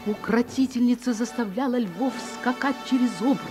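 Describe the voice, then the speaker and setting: A woman's voice: on the high side, normal speed, over music, lightly acted. An actress playing a wide-eyed heroine narrating her childhood in a radio play. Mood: suspenseful.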